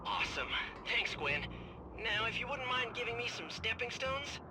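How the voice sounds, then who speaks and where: High-voiced cocky teen hero. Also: male voice, expressive, moderate pace, heavy background noise. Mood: cocky casual. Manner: casual, sarcastic. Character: cocky, wisecracking teenage boy hero.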